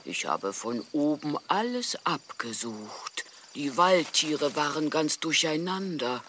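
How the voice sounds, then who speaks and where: Casting: uneasy watchful scout in kids' radio play, slow delivery, lively pitch, faint background ambience. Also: male voice, heavily acted. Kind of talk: children's audio drama acting. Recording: faint outdoor ambience noise.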